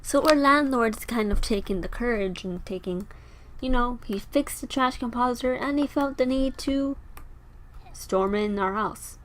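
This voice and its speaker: A young woman with a slightly raspy, tired voice chatting casually as she plays a life-sim game, rambling and talking to her characters. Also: on the high side, measured pace, poor audio. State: tired, sore throat. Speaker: gameplay video host. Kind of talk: casual gameplay commentary.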